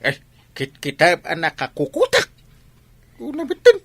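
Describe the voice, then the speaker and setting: A man's voice: a little high, huge pitch swings, unhurried, a bit bright, exaggerated voice. An actor playing an evasive village man who refuses to bathe, stammering through excuses in an Ilocano Filipino accent in a comic radio drama.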